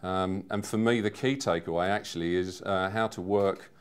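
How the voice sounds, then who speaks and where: A man in his fifties giving a personal testimonial in a measured, earnest way. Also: sits low, steady tone, clear, resonant tone. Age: 50s. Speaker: seminar attendee giving a testimonial.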